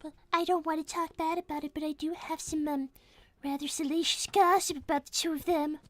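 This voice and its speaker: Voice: high voice, little pitch movement, a little fast, fairly bright tone. A woman voicing a prim, polite little girl rabbit who speaks in a proper, formal way and loves gossip. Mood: gossipy. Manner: very proper, formal and dainty speech.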